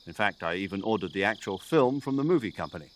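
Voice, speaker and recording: A man voicing an older military commander in a video game, precise and drily good-humoured, articulate and measured as he briefs an agent. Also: on the low side, expressive, slight background noise, lightly acted. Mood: wry, chatty. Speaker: mission commander giving a radio briefing.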